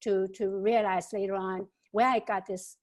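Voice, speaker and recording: A woman, giving an interview answer about her life. She speaks haltingly, with many fillers and repeated words. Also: mid-range voice, fairly even intonation, darkish tone. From China. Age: 70s.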